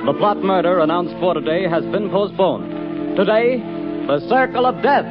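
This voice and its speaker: A high-voiced man announces old-time radio in a formal, declamatory way. The recording is muffled, with music playing clearly behind him. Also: moderate pace. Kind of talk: 1930s radio announcing, scripted sponsor pitch.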